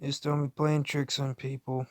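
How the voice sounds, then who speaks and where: A man's voice: mid-range voice, level tone, normal speed, no echo, clean studio sound. A man giving casual, unscripted reaction commentary in a blunt, rambling way, with crude language and swearing. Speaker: reaction video YouTuber.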